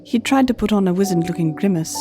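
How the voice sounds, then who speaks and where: A woman narrating a scripted story in a measured, gentle storytelling manner. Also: medium pitch. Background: faint music.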